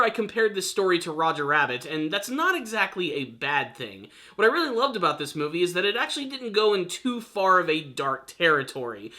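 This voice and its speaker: A young man with a bright, fairly high voice presents a video review to his viewers. He is upbeat and earnest, stressing his points like a keen fan, with pitch that moves around a lot. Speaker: video reviewer and channel host. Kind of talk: enthusiastic film review commentary to viewers.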